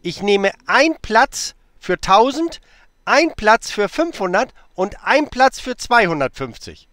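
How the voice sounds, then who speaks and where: A man in his late fifties talks on a phone call, teasing and stalling with many hesitations. His voice sits high and swings widely in pitch. The sound is dry and close. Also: very animated, a little slow, sharp tone, no echo. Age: 50s.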